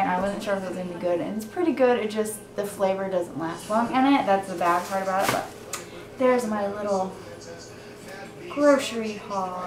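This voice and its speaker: A young American woman chatting casually and informally in a rambling vlog style, recorded on a camera microphone. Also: medium pitch. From United States, Indiana. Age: young adult. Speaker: vlogger. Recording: roomy echo, noisy handheld camera audio.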